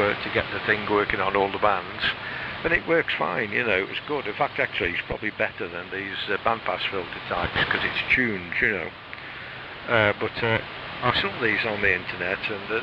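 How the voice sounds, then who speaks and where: A British man chatting casually about technical matters over amateur radio, hesitant and rambling, with a frog in his throat. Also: lowish voice. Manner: hesitant, rambling, frequent pauses. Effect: shortwave radio transmission. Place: home radio shack. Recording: muffled shortwave radio, loud static noise.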